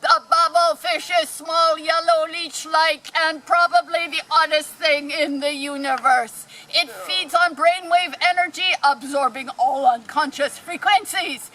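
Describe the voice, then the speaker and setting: High-voiced, unhurried woman narrator. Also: sharp tone. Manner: deliberate read-aloud storytelling. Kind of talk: scripted radio play narration. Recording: clearly noisy amateur recording.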